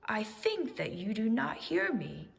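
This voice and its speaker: An earnest male owl from a children's storybook, acted out by a woman. He is friendly and simple-hearted, speaking deliberately with lively, swinging pitch and a gravelly creak. The sound rings with long reverb. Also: exaggerated voice. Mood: gently pleading.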